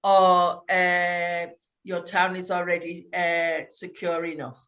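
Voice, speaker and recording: A woman from the UK teaching English, guiding a conversation class in slow, clear speech with many fillers. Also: fairly low pitch, flat delivery, a bit bright. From United Kingdom.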